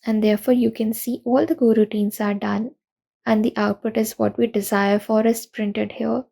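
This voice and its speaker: A young woman giving a recorded conference talk, explaining programming pitfalls in an earnest, steady way. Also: a little high, moderate pace, vocal fry. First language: not Russian. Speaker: conference speaker.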